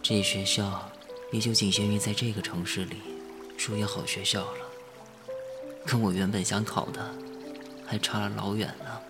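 An actor playing a young male college freshman in a Chinese audio drama, wry, quick to bristle and privately wistful, speaking colloquially. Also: on the low side, steady tone, over music, natural voice. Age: young adult.

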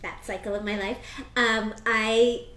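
An American woman speaking as an astrologer and tarot reader, chatting casually in an interview with bursts of excitement. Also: fairly high pitch, echoey room. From United States. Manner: animated, quick bursts of excitement.

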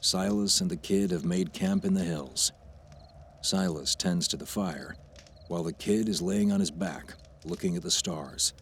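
A man's voice: deep voice, a little slow, a little hiss or hum. A man narrating screenplay action for an audio drama in a scripted read. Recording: music behind the voice.

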